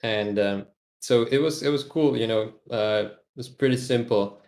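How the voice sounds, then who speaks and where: A man's voice: medium pitch, normal speed, darkish tone, reverberant. A young man from Europe, guest on a casual podcast interview, speaking with many hesitant fillers. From Europe, half Serbian half Czech. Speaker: guest, aircraft developer.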